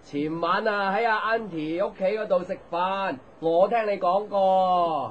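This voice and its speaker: A sunny young man, polite and friendly, with a high voice and a Hong Kong Cantonese accent. It is radio drama dialogue on a dull recording. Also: measured pace, slight background noise. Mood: friendly, breezy.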